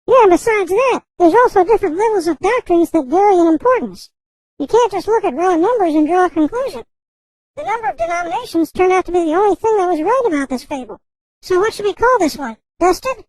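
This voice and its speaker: A woman's voice: very high voice, sing-song, brisk, darkish tone, no room sound, poor audio. A woman adding her points in a matter-of-fact way.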